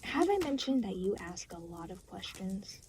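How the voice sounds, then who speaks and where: Casting: woman as aloof demon for audio roleplay, creaky voice, dry sarcasm, exasperated and weary, faint background noise. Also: medium pitch, very animated, normal speed, darkish tone, rough recording. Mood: weary, sardonic. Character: aloof, exasperated demon, reluctantly babysitting a new soul. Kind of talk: audio roleplay addressed to the listener.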